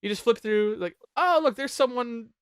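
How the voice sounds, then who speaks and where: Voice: mid-range voice, moderate pace, smooth, dry recording, clean studio sound. A host chatting casually while ranking songs on a panel show, jokey, profane and talkative.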